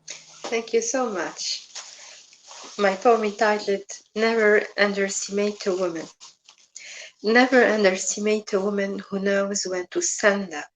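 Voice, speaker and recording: A woman from Tunisia reciting her poem in a forceful, declamatory way. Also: mid-range voice, slight background noise, rough recording. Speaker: poet. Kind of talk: poetry recitation.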